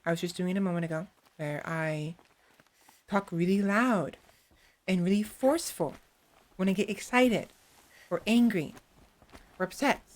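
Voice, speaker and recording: A woman streamer chatting casually in a soft, hesitant voice with a gravelly vocal fry, talking close to her microphone. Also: on the low side, moderate pace, long pauses. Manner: soft, hesitant, self-reflective, many restarts. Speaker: VTuber streamer. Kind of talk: casual chat while livestreaming a game. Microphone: close desk mic.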